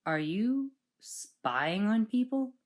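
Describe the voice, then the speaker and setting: A woman in an amateur audio drama playing a deadpan, seasick ship security guard. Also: medium pitch, slow pace. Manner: dry, deadpan.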